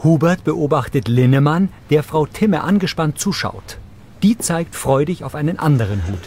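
A man's voice: a little high, lively intonation, normal speed, a little mellow, slightly rough. A man reading scripted audio description narration for a television series.

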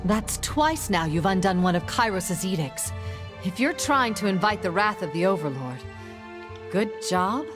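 A woman's voice: mid-range voice, expressive, a little slow, over music, close to an everyday voice. An actress playing a dry, sarcastic female companion in a fantasy game, blunt in her delivery. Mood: wry.

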